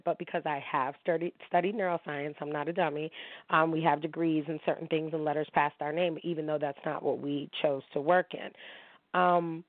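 A woman's voice: low-pitched, no echo. A woman hosting her own internet radio show, talking candidly and emotionally to listeners while sounding drained. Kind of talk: casual monologue. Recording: dry, tinny phone-line sound.

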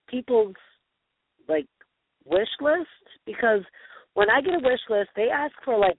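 A woman hosting a casual talk show, deep-voiced and bubbly, gushing and peppering her talk with "like". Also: no echo. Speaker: host. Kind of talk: casual chat show banter. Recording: tinny phone-line radio sound.

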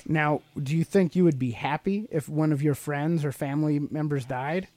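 A man's voice: a little high, moderate pace, a little mellow, no echo. A middle-aged man co-hosting a podcast, explaining things casually to a child in a teacherly way with leading questions. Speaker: podcast co-host and father explaining to his child.

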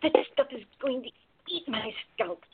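Actress as tall protective woman, husky voice, dry wit, mock grumbling, radio drama, phone-like audio. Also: on the high side, lively intonation, moderate pace. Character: Ava, tall wry protective woman in love. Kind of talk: radio play acting. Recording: narrow phone-like sound.

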